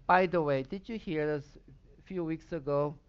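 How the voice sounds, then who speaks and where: A man's voice: a little high, normal speed, smooth, muffled recording. A pastor from Southern Sweden, a man whose first language is Swedish, preaching a sermon with a Swedish accent and emphatic bursts of intensity.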